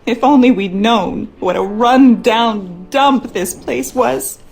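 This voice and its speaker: A woman's voice: fairly high pitch, takes it slowly, a little mellow. An actress playing a worried, skeptical wife and mother, speaking earnestly and dramatically in a game monologue.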